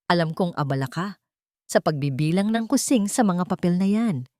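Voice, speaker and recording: A haughty older matriarch, as a woman narrator plays her, talks down to others in a cold, commanding way. The voice is slightly low and dramatically inflected, as in an audio storybook. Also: animated, moderate pace, mellow, dry recording, studio quality, lightly acted. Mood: condescending. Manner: commanding, condescending.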